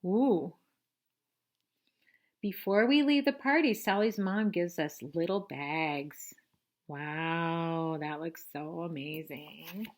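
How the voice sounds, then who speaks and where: A woman reading a picture book aloud to children like a teacher, expressively, pausing for simple explanations and questions. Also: on the low side. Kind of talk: read-aloud storytelling with asides and questions to young listeners.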